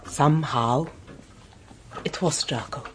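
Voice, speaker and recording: An actress playing a stern, loyal long-serving housekeeper in a radio drama, curt, guarded and defensive. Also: female voice, low voice, slow pace, a little hiss or hum. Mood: bitter, defensive.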